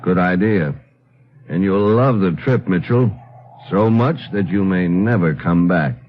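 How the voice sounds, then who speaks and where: An actor playing a cocky American crook and traitor in a radio adventure, with sneering, mock-friendly banter. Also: male voice, low voice, measured pace. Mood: gloating. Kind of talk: old-time radio adventure acting. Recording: muffled, hissy old radio recording.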